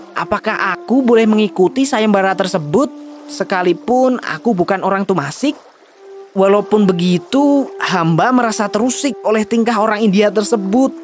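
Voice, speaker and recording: A man playing a humble, brave young wanderer in a children's folktale drama, speaking politely and earnestly. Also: high voice.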